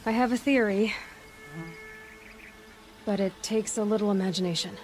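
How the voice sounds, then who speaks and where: An actress playing a sharp, observant young huntress in a video game, reasoning in a calm, matter-of-fact way. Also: female voice, medium pitch, normal speed, a little hiss or hum, lightly acted. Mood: analytical. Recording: dramatic music and game sounds behind.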